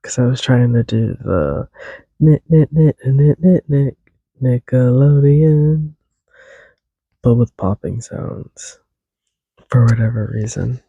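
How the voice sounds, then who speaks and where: A man's voice: mid-range voice, slow, vocal fry, pauses often, slightly rough, dry recording, phone-quality sound. A young man hosting a solo podcast, rambling casually and a little congested, drifting off on tangents and humming tunes. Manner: casual, tangent-prone, hums and sings tunes. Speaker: podcast host.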